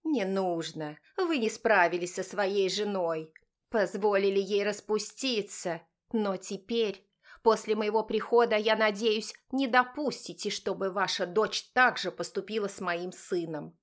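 A woman's voice: mid-range voice, a little slow, natural voice. Woman acting a haughty, contemptuous rich mother. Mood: scornful, demanding. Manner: cutting, imperious, speaks through clenched teeth. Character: haughty, contemptuous wealthy mother guarding her son.

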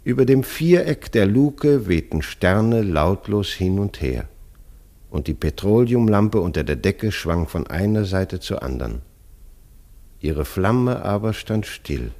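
A man's voice: sits low, sing-song, slow, vocal fry, warm tone. A man narrating a literary radio play as a scripted story.

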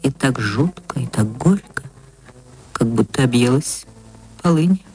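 Husky woman narrating a literary story. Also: a bass voice, animated, measured pace, a little mellow. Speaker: actress reading a short story. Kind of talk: expressive dramatic literary narration for radio.

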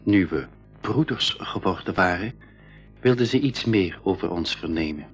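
An actor playing a man who narrates his jungle adventure in a measured storytelling manner for a 1980s radio drama. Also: deep voice, lively intonation, soft background music. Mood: recounting. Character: male expedition member recounting a jungle adventure.

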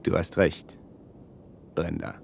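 A man's voice: low-pitched, level tone, takes it slowly, gravelly, a little hiss or hum, heavily acted. An actor playing a troubled, hesitant man on an expedition in a 1970s German radio play. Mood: hesitant, resolved. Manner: hesitant, earnest.

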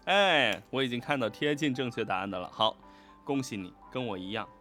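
A man co-hosting a fan quiz livestream, chatting casually and echoing short words in quick bursts as he reacts to answers. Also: medium pitch, music in the background. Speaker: co-host of a fan quiz livestream for an audio drama.

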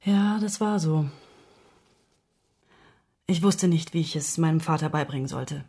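Lowish female voice, guarded grieving lover, confiding, radio drama dialogue. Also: measured pace, mellow, husky, full character voice. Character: guarded grieving woman confiding a secret love affair.

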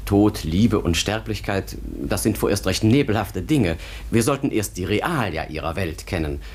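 A man playing a curious, pedantic scholar in a radio play, polite and hesitant, with a husky voice. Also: fairly low pitch, lively intonation, moderate pace, darkish tone. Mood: fussily curious. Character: pedantic, curious scholar demanding scientific precision.

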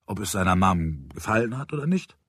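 Reader as a calm, stern, probing man. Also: deep voice, husky, no room sound, studio quality, exaggerated voice. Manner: quiet, measured questioning. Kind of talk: audiobook character voice.

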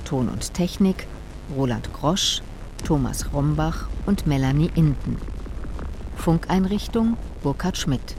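A woman with a deep, mellow voice reads as the announcer of a radio play. Her delivery is scripted narration, with faint noise behind her. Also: animated, takes it slowly, poor audio. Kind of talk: scripted narration, credits reading.

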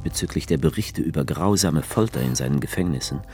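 An actor playing an ironic, detached author who narrates a radio play in the first person, in a dry literary tone. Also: male voice, a bass voice, lively intonation, brisk, darkish tone, a little hiss or hum.

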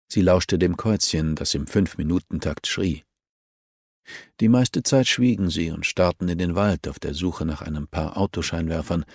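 A man narrates a crime novel in a fairly low voice. It is scripted audiobook reading, recorded very dry, with no room sound around the voice. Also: a little mellow, no echo.

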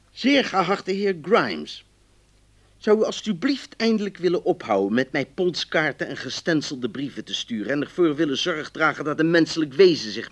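An actor playing a polite, increasingly exasperated man reading out his formal complaint letters in a radio drama. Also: fairly high pitch. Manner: formal, emphatic.

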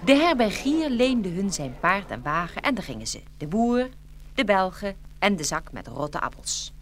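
A woman narrating a children's fairy tale from a script in an expressive storytelling manner. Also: mid-range voice, normal speed.

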